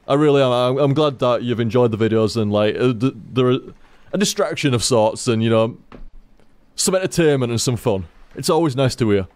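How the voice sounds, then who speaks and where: A man's voice: medium pitch, expressive, brisk, darkish tone, no echo. A man streaming, chatting casually as he reads out viewer tips, rambling, repeating himself and swearing freely.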